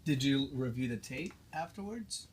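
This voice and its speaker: A man's voice: mid-range voice, animated, moderate pace, slight background noise. A man in a casual chat, asking questions and teasing his friends.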